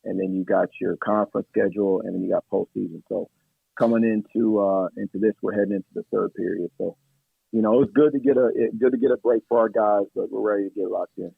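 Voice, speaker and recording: A man, an assistant basketball coach, answering radio interview questions in a measured way with many fillers, his voice hoarse from yelling at practice. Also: on the low side, fairly even intonation, dry recording. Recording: tinny phone line.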